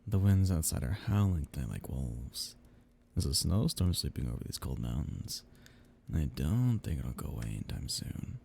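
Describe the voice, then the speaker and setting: A man playing a seductive, teasing, menacing vampire noble in an intimate ASMR roleplay, in a breathy, gravelly murmur. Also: very animated, full character voice. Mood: silky, menacing. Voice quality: husky, breathy, low murmur with gravelly edges. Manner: slow, teasing drawl with soft whispered dips.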